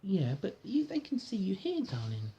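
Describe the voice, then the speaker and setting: A man reads a children's story to his child. His intonation is highly varied and his manner coaxing and child-directed. There is faint noise in the recording. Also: wildly swooping pitch, normal speed, creaky, dark tone, dull audio. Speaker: parent reading a bedtime-style story to a child. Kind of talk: storybook reading with chat to a child.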